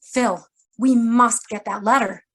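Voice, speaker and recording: An actress playing a detective's loyal, urgent, sharp-tongued female ally in a noir radio drama. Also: fairly high pitch, fairly even intonation, slow pace, husky, heavily acted. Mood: urgent, worried.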